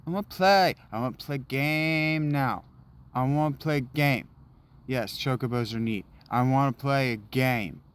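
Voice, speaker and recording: A man's voice: mid-range voice, measured pace, clear, resonant tone. Goofy rambling gamer man. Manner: rambling, goofy, hums and sings nonsense. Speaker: let's play streamer. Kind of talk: casual gameplay commentary.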